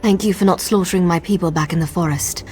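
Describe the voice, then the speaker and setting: An actress playing a grateful, resolute outcast in a video game, speaking earnestly. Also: female voice, lowish voice, fast talker, darkish tone, soft background music, close to an everyday voice. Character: grateful, resolute female outcast joining the fight. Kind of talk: video game character acting.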